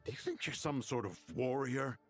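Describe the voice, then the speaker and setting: Man playing husky, stubborn old scholar. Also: mid-range voice, moderate pace, faint music behind, natural voice. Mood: gruff, pondering. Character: gruff elderly male scholar, stubborn and chiding. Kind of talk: video game dialogue acting.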